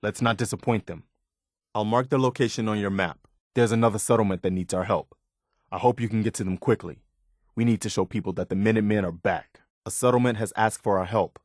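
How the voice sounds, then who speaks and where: An actor playing an earnest, loyal and idealistic militia officer in a video game, measured and plain-spoken. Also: male voice, fairly low pitch, darkish tone, no echo, clean studio sound, lightly acted. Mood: earnest, hopeful. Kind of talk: video game character dialogue.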